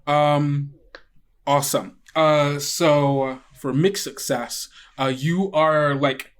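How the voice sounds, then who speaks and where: A man running a tabletop game as game master, narrating off the cuff in a casual, halting, colloquial way. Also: medium pitch. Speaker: game master and podcast host.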